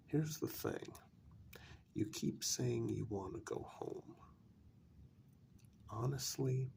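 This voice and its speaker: A man playing a patient but blunt vampire envoy in an ASMR role-play, speaking softly and deliberately with a gravelly, breathy voice and firm emphasis. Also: fairly low pitch, slight background noise, exaggerated voice. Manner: slow, deliberate, with long pauses and firm emphasis. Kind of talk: soft-spoken ASMR role-play monologue.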